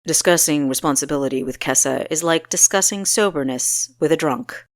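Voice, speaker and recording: Stern young male ruler, voiced by a woman, lowish pitch, grave, authoritative, admonishing, fantasy audiobook, dry. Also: moderate pace, no echo. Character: stern, weary seventeen-year-old male ruler, grave beyond his years.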